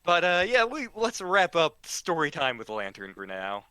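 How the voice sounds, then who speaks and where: A man with a bright, slightly high voice tells funny stories in a casual, rambling way. His delivery is halting and giggly, unhurried overall and lively in pitch. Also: smooth, no room sound. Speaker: storyteller, regular of the show. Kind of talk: casual humorous anecdote telling.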